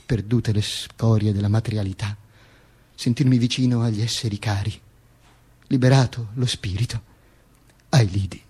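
A man's voice: fairly low pitch, warm tone, voice pushed down, natural voice. A man playing a dead Italian dictator, grandiose and sardonic, declaiming his own burial in a theatrical radio monologue. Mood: solemn, wistful.

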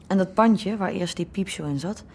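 A woman's voice: mid-range voice, normal speed, a little mellow, breathy edge. An actress playing a hesitant, curious young girlfriend in a radio play.

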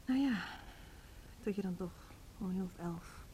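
Actress as anxious music teacher, halting, confessional, soft dark timbre, medium pitch, radio drama. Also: female voice, close to an everyday voice. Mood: ashamed, halting. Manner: halting, subdued, confiding. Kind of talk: Dutch radio play monologue. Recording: noisy old radio drama recording.

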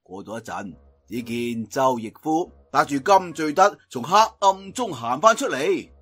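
A man's voice: mid-range voice, animated, unhurried, fairly bright tone. A man with a Cantonese accent telling a story in a dramatic traditional audiobook style.

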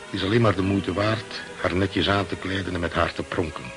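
A man's voice: sits low, fairly even intonation, a little fast, music in the background, close to an everyday voice. An actor playing a brooding, terse ship's first mate who narrates his story in a Dutch radio play. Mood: uneasy, reflective. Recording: hissy old radio recording.

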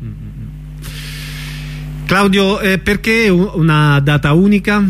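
A man with a somewhat high voice conducts a radio interview. His delivery is conversational and hesitant, with murmured acknowledgements. There is slight noise on the recording. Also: lively intonation, a little slow. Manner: hesitant questions, murmured backchannels.

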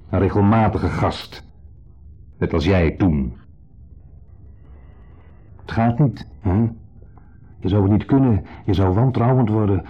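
An actor playing a shabby, self-pitying ex-husband who talks with deliberate, quiet menace in a radio drama. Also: male voice, on the low side, slightly rough, full character voice. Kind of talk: 1970s radio drama acting. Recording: dull 1970s radio play recording.

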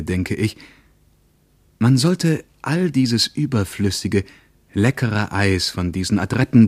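A man's voice: on the low side, darkish tone, no room sound. A man playing a reflective traveller, narrating his journey in literary radio play style. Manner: pensive, literary. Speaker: first-person narrator.

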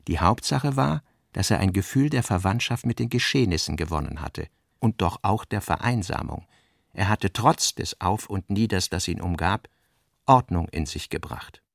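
A man narrating a literary radio drama in a measured, sober manner. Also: deep voice, gravelly, no room sound, studio quality.

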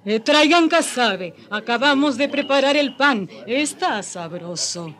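An actor in a radio drama playing a kindly, solemn Taíno village elder, measured and ceremonial, with hesitant interjections. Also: male voice, very high-pitched, animated, pushed and bright, heavily acted. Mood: welcoming.

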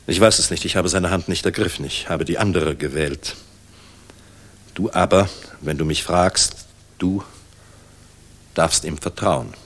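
Weary middle-aged general, extremely low pitch, husky, solemn, rhetorical, 1960s radio play. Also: male voice, a bit bright. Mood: confessional. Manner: measured, solemn, reflective, rhetorical. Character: world-weary, grave Luftwaffe general, middle-aged man.

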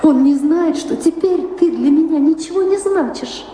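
A woman's voice: high-pitched, moderate pace, lightly acted. Actress as imperious, worried lady of the castle; theatrical radio-play delivery, long reverb, noisy recording. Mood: earnest, insistent. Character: anxious imperious married noblewoman, mistress of a castle. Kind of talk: audio play stage acting.

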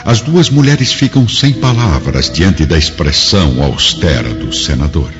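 Casting: male narrator, very low voice with sweeping intonation, Brazilian accent, solemn radio-drama storytelling. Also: sing-song, a little slow. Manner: solemn, formal. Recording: dull lo-fi radio drama, music behind.

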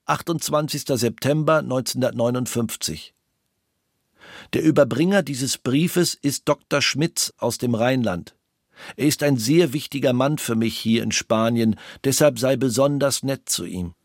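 An actor voicing a man's letter for a documentary in a measured, deliberate reading. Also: medium pitch, no room sound, clean studio sound. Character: man reading out his letter to a woman.

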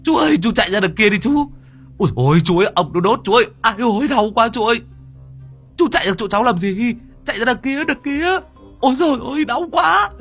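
A man's voice: very high-pitched, fast talker, hardly any pauses, a bit bright, faint music behind, voice pushed up. A man voicing a panicky young male nephew who shrieks frantically. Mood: frantic.

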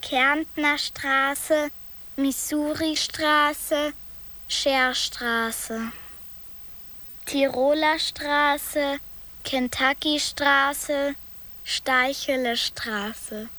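Woman reciting a list, very slow and deliberate, high voice, bright and clear. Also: fairly even intonation.

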